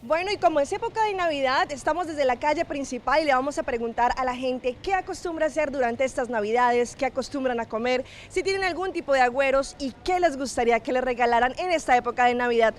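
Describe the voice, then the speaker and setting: A woman's voice: high-pitched, fast, few pauses, a little hiss or hum. A woman presenting scripted television news as host and reporter.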